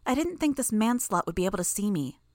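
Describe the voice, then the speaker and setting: A woman's voice: medium pitch, sing-song, quick pace, darkish tone, no room sound, studio quality, voice pushed up, close to an everyday voice. A woman voicing a cocky, gleeful teenage girl villain who talks in a brash, crude way. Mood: blunt. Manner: brash, crude, pleased with herself.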